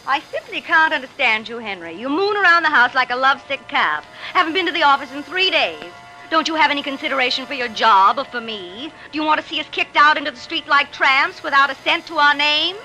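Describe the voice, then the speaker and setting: Nagging wife, piercingly bright high voice, scolding, vintage radio drama. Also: female voice, animated, brisk, exaggerated voice, rough recording. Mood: exasperated scolding. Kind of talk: old-time radio drama acting. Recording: vintage radio recording, faint music behind.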